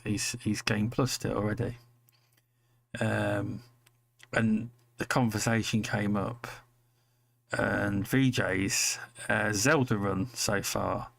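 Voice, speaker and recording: A man's voice: lowish voice, creaky, no echo. A British man talking casually about games with friends, often repeating his phrases. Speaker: gamer on a podcast.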